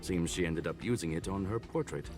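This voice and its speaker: A man voicing a learned mechanical gentleman in a video game, formal, calm and eloquent. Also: deep voice, brisk, natural voice. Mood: calm, musing. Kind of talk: scripted video game dialogue. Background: game music.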